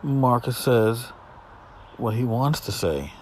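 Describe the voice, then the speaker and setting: A man's voice: mid-range voice, animated, slow. A man hosting a podcast alone, talking casually in a rambling, exasperated, sarcastic way. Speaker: podcast host. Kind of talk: casual solo commentary, reading out emails. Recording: noticeable background noise.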